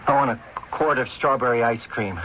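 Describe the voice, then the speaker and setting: A man's voice: medium pitch, a little fast, natural voice. A man playing a rude, belligerent, menacing hothead in a 1970s radio drama. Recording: muffled 1970s radio recording, faint hiss.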